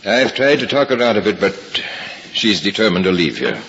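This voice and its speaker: An actor playing a patient hospital doctor in a 1950s radio drama, earnest and gently persuading. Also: male voice, fairly low pitch, very animated, fairly quick, slight background noise. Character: patient, worried older male hospital doctor. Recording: muffled old radio broadcast with reverb.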